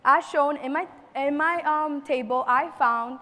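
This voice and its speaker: A woman's voice: sits high, measured pace, sharp tone. A teenage girl student earnestly presenting her science research project, reading from her slides with some restarts. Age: teens. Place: large convention hall. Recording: long reverb of a large hall.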